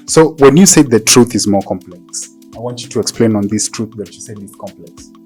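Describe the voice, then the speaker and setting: A male podcast host with a fairly low voice interviews in a conversational style. He speaks a little haltingly and rewords his phrases as he goes. Faint music sits behind his voice. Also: lively intonation, darkish tone.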